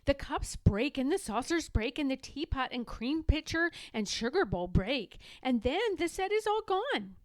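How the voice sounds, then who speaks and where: A woman's voice: high-pitched, very animated, unhurried, few pauses, dry recording. A woman voicing a sly, boastful little girl in a children's storybook, knowing and persuasive. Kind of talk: children's storybook read-aloud.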